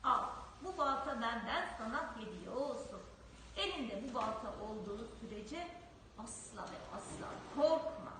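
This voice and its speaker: A woman's voice: on the high side, animated, measured pace, distant mic, background noise. Woman voicing a kind old miller. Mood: kindly. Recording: very echoey room, voice off-mic.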